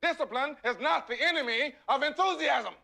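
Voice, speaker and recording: An actor playing a fierce, uncompromising high school principal, forceful and imperious in a declamatory film drama performance. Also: male voice, moderate pace, gravelly, full character voice. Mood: stern command.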